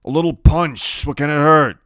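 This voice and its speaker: A man's voice: mid-range voice, sing-song, normal speed, fairly bright tone, smooth, no echo, clean studio sound, pitched above the performer's own voice. A man voicing a nervous, placating motorcycle gang boss in an audiobook. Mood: nervous pleading. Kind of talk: audiobook character voice.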